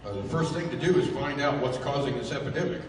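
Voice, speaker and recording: A man playing a plain-spoken, excitable adventurer sidekick in an old-time radio serial. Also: mid-range voice, fast talker, lightly acted. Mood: urgent, puzzled. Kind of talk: 1930s radio adventure acting. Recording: echoey hall from far off, noisy.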